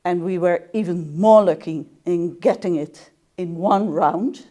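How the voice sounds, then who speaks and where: Slow, mellow-voiced woman interviewee. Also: fairly low pitch. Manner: unhurried, halting with fillers. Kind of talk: documentary interview.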